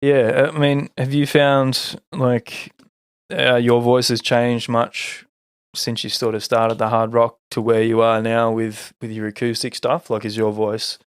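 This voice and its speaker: A man hosting a podcast interview, relaxed, asking questions and murmuring agreement. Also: mid-range voice, a little slow, clean tone, dry recording, studio quality. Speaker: podcast host. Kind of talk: casual interview chat.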